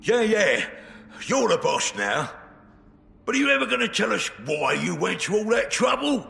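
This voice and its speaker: Very gravelly orc. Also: male voice, high-pitched, very animated, moderate pace, pushed and bright, exaggerated voice. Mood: grudging. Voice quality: croaky, gravelly. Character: sneering grumbling male orc rival. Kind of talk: video game cutscene acting.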